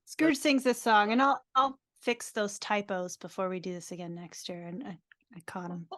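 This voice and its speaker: A woman's voice: medium pitch, fairly even intonation, moderate pace, a bit bright. A woman narrating stage directions in a casual live script reading.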